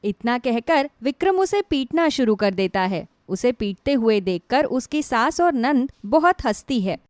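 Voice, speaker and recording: High-voiced woman storyteller. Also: very animated, unhurried, a little mellow, no echo, studio quality. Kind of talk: scripted moral story narration.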